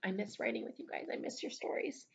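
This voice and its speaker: A woman's voice: a little high, expressive, quick pace, vocal fry. A woman teaching young children in a conversational, encouraging way, as a first-grade teacher talking through a read-aloud. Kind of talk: read-aloud lesson with asides to pupils.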